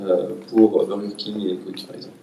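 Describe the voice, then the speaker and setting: A man's voice: low-pitched, level tone, normal speed, gravelly, warm tone. A man giving short answers on a round-table panel. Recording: echoey room, far, faint noise.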